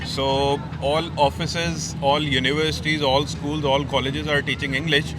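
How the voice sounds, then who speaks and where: A man's voice: fairly high pitch, flat delivery, unhurried. A man, explaining steadily in a street interview. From Pakistan. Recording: outdoor street with crowd and traffic.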